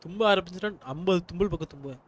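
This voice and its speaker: A man's voice: sits high, very fast, slightly rough. A man with a Tamil accent and a cold, chatting casually on a game livestream and complaining in slang. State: has a cold, sneezing. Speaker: live game streamer. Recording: noisy stream with game audio behind.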